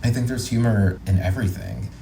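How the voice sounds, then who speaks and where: Male, late 20s, lowish register, earnest, jokey interview guest; echoey room. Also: sing-song, fairly quick, creaky, mellow. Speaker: guest, actor and producer. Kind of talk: casual interview chat. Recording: roomy echo, faint background noise.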